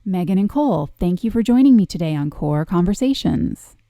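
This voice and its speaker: A woman hosts a podcast interview in an upbeat, enthusiastic way. Her voice sits on the lower side and sounds warm and mellow. The recording is dry and close. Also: animated, fairly quick, no echo. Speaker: podcast host.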